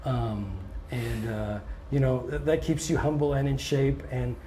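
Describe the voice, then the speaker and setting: A touring blues singer and guitarist with a scratchy voice, answering interview questions in a relaxed, thoughtful way and often pausing on "um". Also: male voice, medium pitch. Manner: relaxed and thoughtful, many ums, restarts now and then.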